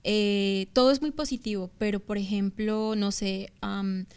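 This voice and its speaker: A woman in her thirties with a Bogotá Colombian accent, speaking in a smooth, resonant voice at a middle pitch. She talks as an interview guest, chatty, pausing on fillers and restarting her sentences. The sound is a television studio interview. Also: fairly even intonation, darkish tone. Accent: Bogotá Colombian Spanish. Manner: talkative, hesitant fillers, frequent restarts. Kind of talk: conversational interview testimony.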